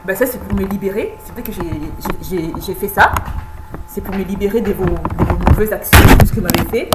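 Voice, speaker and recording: A woman in her thirties recording a personal video message, halting and repeating words, insistent and pleading. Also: medium pitch. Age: 30s. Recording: echoey room with faint hum.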